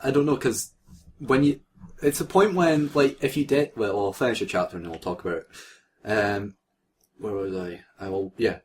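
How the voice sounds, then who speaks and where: A man's voice: lowish voice, moderate pace, slight background noise. A man reading a story aloud as co-host in a casual, mocking way, often breaking off to laugh, swear and comment.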